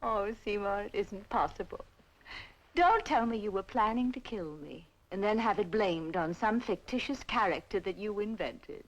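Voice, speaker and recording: An actress playing a middle-aged, sharp-tongued, mocking aunt in a radio drama, haughty and articulate. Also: female voice, mid-range voice, expressive, normal speed, low-quality recording. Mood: mocking amusement. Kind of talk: old-fashioned radio drama acting.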